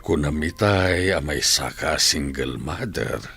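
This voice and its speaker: An actor playing a lonely, widowed elderly father who speaks softly and plaintively in a husky voice. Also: a bass voice, animated, slow, faint music behind, heavily acted. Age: older. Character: lonely widowed old father, gentle, longing. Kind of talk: radio drama acting.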